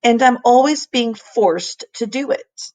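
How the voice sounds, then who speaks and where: A woman's voice: a little high, expressive, slow, a little mellow, voice pushed up. Candid little schoolgirl voiced by a woman reader. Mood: complaining.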